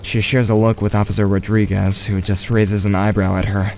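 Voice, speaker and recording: Low-voiced anxious teenage boy narrator, played by a man. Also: little pitch movement, fairly quick, darkish tone, a little hiss or hum, close to an everyday voice. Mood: grave. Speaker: narrator and lead actor. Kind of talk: first-person audio drama narration.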